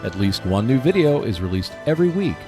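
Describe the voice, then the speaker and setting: Animated male podcast host over music. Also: mid-range voice, a little fast. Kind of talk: solo podcast talk with scripted announcements.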